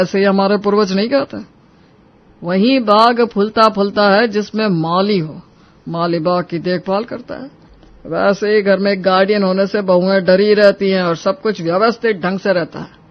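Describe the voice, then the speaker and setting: Guy playing a young office worker in an audio story, high voice, chatty persuading talk, kinda muffled lofi. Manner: colloquial, persuading, placating. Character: young office worker, weary husband or advising friend. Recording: muffled, dull lo-fi sound.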